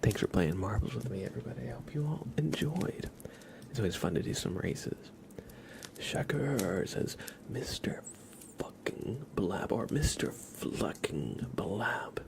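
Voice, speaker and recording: A man hosting an ASMR livestream, chatting to viewers in a hushed, gentle, often whispered manner. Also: on the low side, sing-song, a little slow, creaky. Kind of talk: ASMR, soft-spoken livestream chat.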